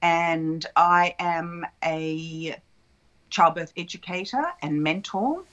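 A woman's voice: fairly low pitch, slow, fairly bright tone, dull audio. A woman in her fifties, a childbirth educator, telling her story in a flowing, reflective way in an interview. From Australia. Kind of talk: relaxed interview conversation.

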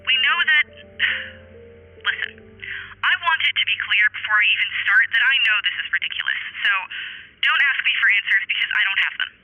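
An actress playing a cool, dry, condescending sister in a fiction podcast, speaking with clipped sarcasm through a telephone filter. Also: female voice, mid-range voice, expressive, fairly quick, pauses often. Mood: reluctant, businesslike. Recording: muffled phone line over faint music.

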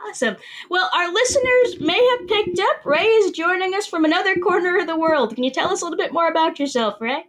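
A woman's voice: extremely high pitch, animated, fairly quick, hardly any pauses, fairly bright tone. A woman hosting a book podcast, chatting with a guest author in a cheerful, chatty way. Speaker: podcast host. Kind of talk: friendly interview chat.

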